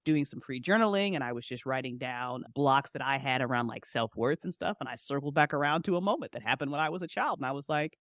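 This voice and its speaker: A woman's voice: very deep voice, expressive, fast talker, hardly any pauses, fairly bright tone, dry recording, clean studio sound. A woman guest on a podcast, chatting casually in a frank, emphatic and encouraging way. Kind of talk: casual chat.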